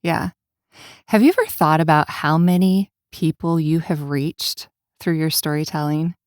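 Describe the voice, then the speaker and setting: Very low-pitched woman podcast host. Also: steady tone, a little slow, no room sound, studio quality. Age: adult, parent of children. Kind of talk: conversational interviewing.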